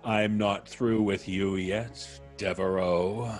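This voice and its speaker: A man's voice: on the low side, fairly even intonation, slow pace, over music. An actor playing a vengeful ex-convict blackmailer in old-time radio style, taunting and mock-polite as he threatens. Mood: gloating menace.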